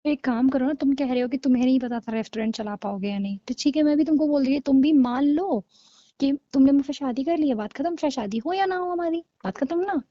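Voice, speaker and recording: A woman's voice: high-pitched, quick pace, mellow, dry recording, highs cut off, lightly acted. A young woman playing a blunt, scornful girlfriend breaking up with her boyfriend in a naturalistic audio drama of a couple's call. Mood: challenging. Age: young adult. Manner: blunt, dismissive, argumentative.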